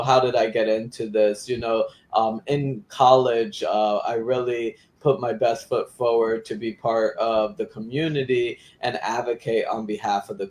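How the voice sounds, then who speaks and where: A young man from Florida, a community activist, giving clear interview answers with some "um"s. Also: mid-range voice, a little mellow. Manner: articulate and explanatory, with fillers.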